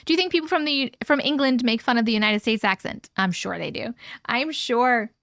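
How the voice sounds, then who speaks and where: Woman livestream host, high bright voice, dry close sound, gushing and chatty with her chat. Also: a little fast, dry recording. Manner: chatty, effusive, talks to chat viewers. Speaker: livestream host reading viewer stories. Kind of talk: casual live chat and reading aloud. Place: at home with her cat.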